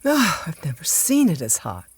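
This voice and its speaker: A woman acting a cold, commanding plotter in a radio drama, deliberate and theatrical. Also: low voice, huge pitch swings, breathy edge, dry recording, heavily acted. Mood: tense. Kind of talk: dramatic first-person radio drama narration.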